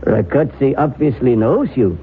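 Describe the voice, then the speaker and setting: An actor playing a calm male professor who knows about curses, speaking in a measured, dryly amused way in a 1970s radio drama. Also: medium pitch, natural voice. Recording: tinny old radio broadcast with faint hiss.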